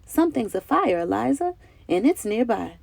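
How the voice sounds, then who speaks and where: A woman's voice: a little high, big pitch swings, moderate pace, creaky, darkish tone, no echo, exaggerated voice. An eager, hardworking storybook heroine, a cook turned firefighter as a woman reads her. She speaks earnestly and emphatically, in a dry, close recording. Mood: urgent. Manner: earnest, exclaiming.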